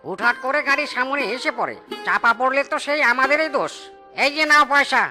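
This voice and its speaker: Grudging passer-by, cartoon, voice actor performing: high-pitched, grumbling, dismissive, music behind. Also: expressive, fairly quick, pushed and bright, full character voice. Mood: irritated. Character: irritated, grudging male passer-by on the road. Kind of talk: cartoon story dialogue.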